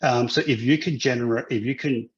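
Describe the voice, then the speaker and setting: Male guest, hesitant, lots of restarts, mid pitch, muffled lo-fi recording. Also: steady tone, fairly quick. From Hong Kong, raised in Australia. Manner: hesitant, many restarts and fillers. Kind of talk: casual interview conversation.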